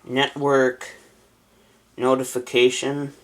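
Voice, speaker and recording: A man's voice: mid-range voice, monotone, takes it slowly, poor audio. A man casually talking through a gadget setup as a vlogger, thinking aloud as he reads out options and decides. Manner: thinking aloud, reading menu items then deciding. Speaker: vlogger setting up a weather radio. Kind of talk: casual unboxing and setup chat.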